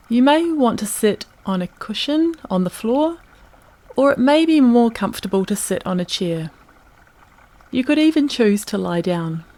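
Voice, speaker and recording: A woman narrating a guided meditation in a calm, gentle, unhurried manner, leaving long pauses between phrases. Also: mid-range voice.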